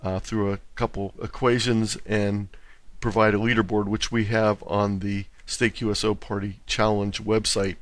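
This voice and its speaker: A man with a fairly low voice gives a thorough, orderly explanation as an interview guest. The sound is dry, with no room echo. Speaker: interview guest, contest organizer.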